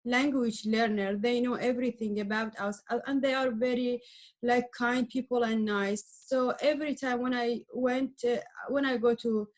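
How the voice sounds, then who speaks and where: Halting middle-aged woman, Arabic-speaking. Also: fairly high pitch, fairly even intonation. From Iran. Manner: halting, searching for words, many restarts. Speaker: adult learner guest. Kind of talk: interview answers.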